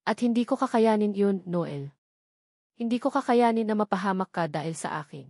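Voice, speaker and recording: A woman voicing an anguished, fearful young wife in an audio drama, halting and repeating her words. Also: mid-range voice, lively intonation, a little mellow, no echo, studio quality. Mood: bitter, fearful. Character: anguished, fearful young wife, a former housemaid, self-sacrificing.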